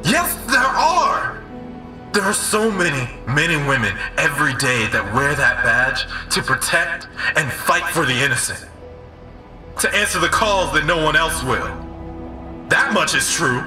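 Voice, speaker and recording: A man's voice: a little high, sing-song, unhurried. An actor playing an earnest, principled man making an emphatic speech for justice in an audio drama. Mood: impassioned, then grave, indignant. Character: John Mark, impassioned, principled male street orator calling for justice. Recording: echoey, distant, with music behind.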